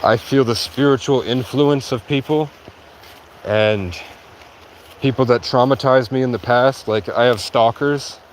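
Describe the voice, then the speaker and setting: A man's voice: medium pitch, slight background noise. Sleep-deprived man rambling on a livestream. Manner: halting, restarts often, heavy use of "like". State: sleep-deprived after hours of talking. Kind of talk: rambling casual monologue. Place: outdoors in a rural area.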